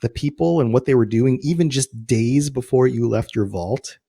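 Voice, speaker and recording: Male podcast host, mid-range voice, explains game lore in relaxed conversational style. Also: mid-range voice, normal speed, creaky, slightly rough. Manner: conversational, explanatory, quotes imagined reactions. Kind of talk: casual podcast chat about video game lore.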